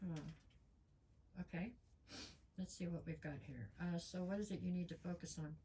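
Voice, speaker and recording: Woman tarot reader, low-pitched, hoarse, stuffy nose, chatty with lots of ums, faint hiss behind. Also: normal speed, warm tone. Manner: chatty, frequent ums, talks straight to viewers. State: losing her voice, stuffy nose. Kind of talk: casual tarot reading.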